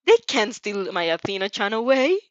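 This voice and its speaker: A woman's voice: medium pitch, big pitch swings, normal speed, dry recording, muffled recording, close to an everyday voice. Woman doing an eager, dramatic teenage boy. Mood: whiny, dramatic. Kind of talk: animated fan fiction character reading.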